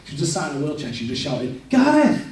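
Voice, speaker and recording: A man's voice: medium pitch, fast talker. A young man giving a candid, self-deprecating personal testimony in a church, with frequent restarts. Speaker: church speaker. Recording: echoey church hall, mic far off.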